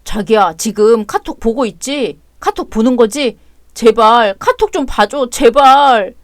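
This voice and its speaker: A woman's voice: a little high, lively intonation, unhurried. A woman voicing an overwhelmed young father who pleads and whines. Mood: pleading. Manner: pleading, whiny.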